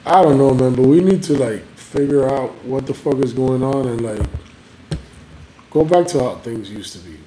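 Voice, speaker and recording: Man, podcast co-host, crude rambling chat, dark mellow tone, echoey room. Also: mid-range voice, moderate pace. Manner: crude, rambling, heavy on filler words. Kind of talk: casual chat. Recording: echoey room with faint background noise.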